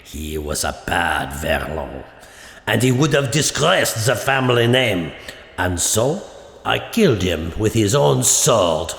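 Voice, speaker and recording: An actor playing a loyal old French servant, grave and proud, with a gravelly French accent in a radio drama. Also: male voice, fairly low pitch, big pitch swings, slow pace, a bit bright, reverberant, in a large hall, heavily acted. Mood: somber.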